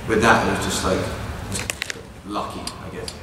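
Low-voiced man in echoey hall. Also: little pitch movement, background noise. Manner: measured, thoughtful, hedging. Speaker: panelist, animator. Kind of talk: panel discussion. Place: large convention hall. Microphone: handheld mic on panel table, far camera. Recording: echoey hall, distant mic.

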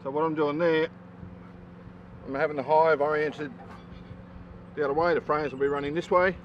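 A man's voice: a little high, normal speed. Man chatting while tending bees. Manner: relaxed, chatty, frequent ums while working. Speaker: hobby beekeeper. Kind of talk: casual outdoor how-to vlog. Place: backyard apiary outdoors. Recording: slightly dull outdoor camera audio with faint noise. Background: neighbour's lawnmower.

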